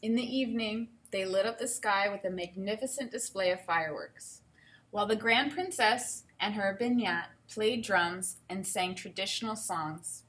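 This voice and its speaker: Bright-voiced woman teacher reading aloud to children. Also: medium pitch, moderate pace. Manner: enthusiastic, explaining to children. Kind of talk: expressive children's read-aloud with instructional asides.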